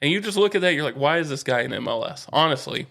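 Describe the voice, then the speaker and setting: A man's voice: a little high, animated, fast talker, gravelly, fairly bright tone. A middle-aged man co-hosting a podcast in casual sports chat, teasing and repeating phrases for emphasis. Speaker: podcast co-host.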